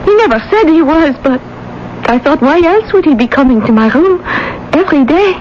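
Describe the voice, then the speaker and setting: A high-voiced, plaintive young Parisian seamstress. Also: female voice, animated, moderate pace, a little mellow. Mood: earnest wondering. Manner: theatrical, plaintive, dramatic phrasing. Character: desolate seventeen-year-old poor Parisian seamstress, naive, grateful, emotional. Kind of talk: old-time radio drama acting. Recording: dull, noisy old radio broadcast.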